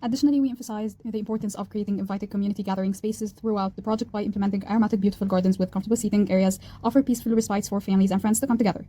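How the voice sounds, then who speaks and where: Woman, 19, student from Egypt, mellow tone, careful scripted read. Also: mid-range voice, fairly even intonation, very fast, few pauses. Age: young adult. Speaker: law student presenter. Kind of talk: scripted presentation.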